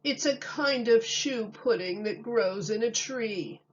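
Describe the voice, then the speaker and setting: A woman voicing a pompous storybook wise man who explains nonsense in drawn-out, knowing rhyme. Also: fairly high pitch, highs cut off, slight background noise, voice pushed up.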